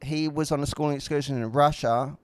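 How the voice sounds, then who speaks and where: A man's voice: fairly high pitch, steady tone, brisk, dry recording. A man co-hosting a podcast in casual, rambling conversation, blunt and opinionated, with frequent swearing. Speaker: podcast co-host.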